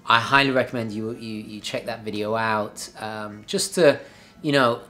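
A man's voice: lowish voice, moderate pace, bright, forceful tone. A young man hosting a watch video from a home office, talking casually and enthusiastically with plenty of fillers and restarts. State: slightly hoarse, clearing throat. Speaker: watch channel host. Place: home office room with a map on the wall.

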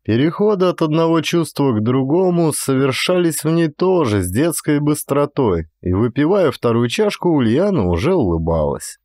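A man reads classic prose as an audiobook narrator, with a very expressive, moving intonation. The sound is close and dry, and the delivery is that of a dramatic storyteller. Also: on the high side, normal speed, few pauses, no room sound.

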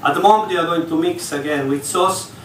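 Chef, echoey hall from far off. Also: male voice, a little high, brisk, a little hiss or hum. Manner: explanatory, slightly broken English phrasing. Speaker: executive chef. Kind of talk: live cooking demonstration. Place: large hall on a ship, in front of an audience.

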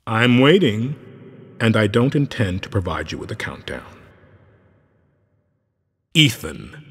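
A man's voice: fairly low pitch, very animated, slow pace, a bit bright, breathy edge, exaggerated voice. An actor playing a coldly courteous, menacing criminal mastermind in a video game, formal and pompous in his delivery. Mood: cold menace. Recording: long reverberant hall.

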